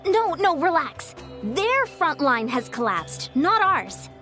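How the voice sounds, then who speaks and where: A woman playing an earnest, courteous young woman in a fantasy video game, speaking in a measured way. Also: sits high, very animated, exaggerated voice. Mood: serious. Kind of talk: English video game voice acting. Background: game music.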